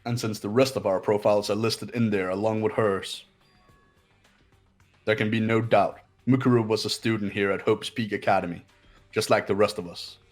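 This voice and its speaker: A man voicing a haughty, condescending young man in a game playthrough, speaking pompously and deliberately. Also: lowish voice, steady tone, soft background music, close to an everyday voice. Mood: smug, analytical. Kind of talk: voiced video game playthrough.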